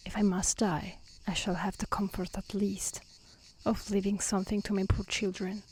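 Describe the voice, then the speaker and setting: A woman voicing a kind, resigned old merchant father in a soft, mournful storybook reading. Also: medium pitch, level tone, moderate pace, a little hiss or hum, close to an everyday voice. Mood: sorrowful.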